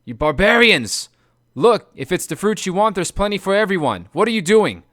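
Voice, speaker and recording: Brief: desperate shrieking captives, voiced by a man, pitched way up. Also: sing-song, normal speed, a bit bright, dry recording, studio quality. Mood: frantic. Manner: shrieking, pleading. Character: frantic desperate men, shrieking and pleading.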